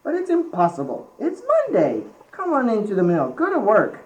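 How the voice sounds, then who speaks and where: A woman's voice: a little high, wildly swooping pitch, normal speed, poor audio. A young teenage girl playing an exasperated, blustering male mill boss in an amateur school radio play.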